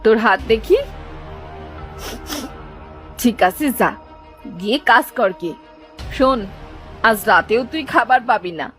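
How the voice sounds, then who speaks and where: Cartoon villain aunt: sharp-tongued, highish, lively swings, faint music behind. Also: female voice, slow, pauses often. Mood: stern, cold. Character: cruel, scolding village aunt, doting on her daughter. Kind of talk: melodramatic cartoon voice acting.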